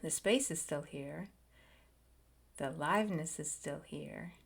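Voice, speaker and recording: Slow, soft-spoken woman guiding meditation. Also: low-pitched, creaky, slightly rough. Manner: slow, soft and soothing, drifting into whispers; pauses often between short phrases. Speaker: meditation guide. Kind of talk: guided meditation.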